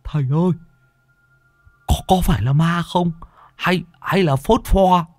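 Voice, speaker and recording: A jittery young apprentice stammers and begs in a high, drawn-out voice, as a male storyteller puts him on. Every word comes slowly and shakily. The sound is dry and close. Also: no echo, soft background music. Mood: frightened. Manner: stammering, pleading. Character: timid young male apprentice, easily frightened.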